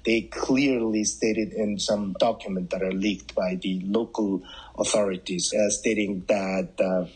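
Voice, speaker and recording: Husky low-voiced man, earnest. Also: fairly even intonation, a little mellow, rough recording. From Southern Mongolia, China. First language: Mongolian. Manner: earnest, halting with many uh fillers. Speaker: human rights campaigner. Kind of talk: interview guest.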